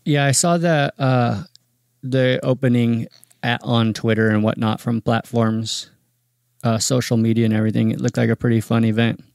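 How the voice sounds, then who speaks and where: Mid-pitched male interviewer, relaxed questions, dry sound. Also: normal speed, vocal fry, no echo. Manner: relaxed, loose phrasing with fillers. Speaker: podcast interviewer.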